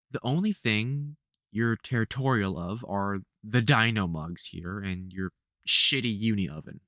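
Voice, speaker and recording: A man playing a soft-hearted, protective vampire hunter mentor in an intimate audio roleplay, speaking fondly and hesitantly with wry exasperation. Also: on the low side, no echo, studio quality. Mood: explaining, reassuring. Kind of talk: intimate audio roleplay acting addressed to the listener.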